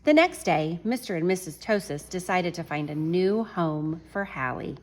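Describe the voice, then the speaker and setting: A woman teacher reading a picture book aloud to children, animated and chuckling, pausing to talk to her class. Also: fairly low pitch. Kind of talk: children's read-aloud storytime.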